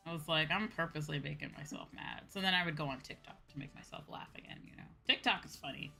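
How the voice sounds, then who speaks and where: Girl streamer just chatting n ranting, kinda low but bright voice, slangy, sweary, soft music behind. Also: fast talker, gravelly. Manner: informal ranting, slangy and profane. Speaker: VTuber livestream host. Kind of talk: casual livestream chat.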